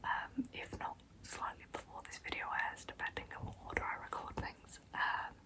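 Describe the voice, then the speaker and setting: A woman whispering a true crime story as an ASMR host, hushed throughout. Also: normal speed.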